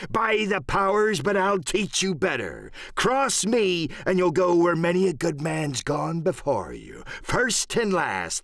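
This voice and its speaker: Crafty old pirate, blustery threats, voice swinging all over, dry close audiobook sound. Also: male voice, a little high, creaky, a bit bright, no room sound, pitched above the performer's own voice, full character voice. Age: older. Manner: blustering, threatening, colourful sailor talk. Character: menacing, cunning one-legged old pirate sea cook.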